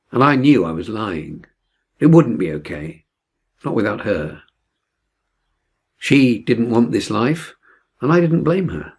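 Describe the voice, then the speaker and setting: A man reading a novel aloud as dramatic literary narration, expressive and measured. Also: medium pitch, husky. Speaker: audiobook reader.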